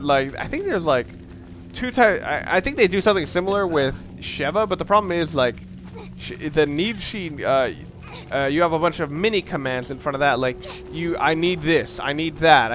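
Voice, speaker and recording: A man's voice: on the high side, fairly bright tone. A man giving casual, unscripted video game commentary in a rambling, sweary, slangy way. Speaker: let's play commentator. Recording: clear background noise.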